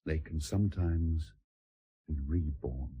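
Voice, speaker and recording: A solemn naval commander deeply in love, in a radio drama. The voice is low and heavily rasped, with measured, courtly phrasing and a tender devotion. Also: male voice, warm tone, faint music behind, heavily acted. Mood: thoughtful.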